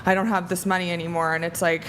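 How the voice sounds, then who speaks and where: A woman's voice: on the low side, flat delivery, quick pace, a bit bright, a little hiss or hum. A woman who works as a matchmaker, chatting on a podcast panel and saying "like" a lot. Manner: chatty, lots of "like". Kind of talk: casual panel chat. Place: bright studio with a large panel. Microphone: desk mic on arm.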